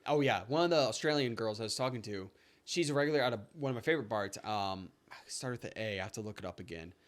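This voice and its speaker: A young man co-hosting a podcast, telling stories in a casual, animated way that jumps up high when he gets excited. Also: quick pace. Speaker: podcast co-host. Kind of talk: casual storytelling chat.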